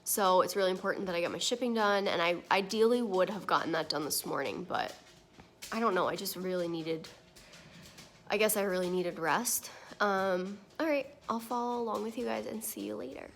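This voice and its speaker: A young woman with a naturally quiet, soft voice, chatting casually and rambling with lots of ums while she does the dishes at home, talking into a phone propped on the windowsill. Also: medium pitch, normal speed. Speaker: vlogger and online reseller. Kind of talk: casual vlog chat while doing dishes. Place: home kitchen at the sink, water running.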